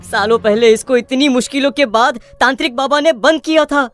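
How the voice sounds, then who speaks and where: A woman acts a villager in a cartoon horror tale. Her voice is high, grave and exclamatory. She sounds baffled and alarmed, with faint music underneath. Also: brisk, a bit bright, dry recording.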